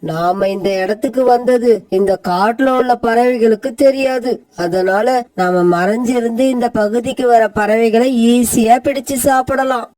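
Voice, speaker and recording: A woman voicing a hungry, menacing male eagle in a cartoon, talking in a slow, gloating, threatening way, set back from the mic in a dry room. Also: on the high side, darkish tone, no echo, recorded from a distance, natural voice, low-quality recording. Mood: scheming. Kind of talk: cartoon voice acting.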